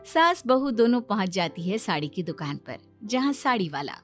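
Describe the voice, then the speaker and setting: A woman's voice: mid-range voice, big pitch swings, moderate pace, faint music behind. A woman narrating a scripted children's story as a storyteller.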